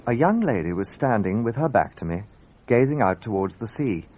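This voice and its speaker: An actor playing an earnest, gallant gentleman who narrates and acts in a radio drama. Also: lowish voice, very animated, normal speed, a little hiss or hum. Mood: measured narration.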